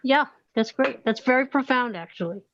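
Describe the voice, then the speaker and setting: A woman poet asking a panelist a question, halting and searching for her words. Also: sits high, lively intonation, muffled recording, slight background noise.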